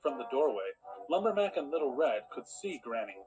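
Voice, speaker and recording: A man playing a measured storyteller, reading scripted storybook narration. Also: mid-range voice, big pitch swings, close to an everyday voice, low-quality recording.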